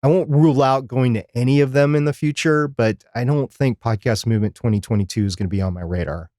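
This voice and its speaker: A man's voice: mid-range voice, quick pace, a little mellow, no room sound. Casual middle-aged man, dry close sound. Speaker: podcast co-host. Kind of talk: casual unscripted live chat.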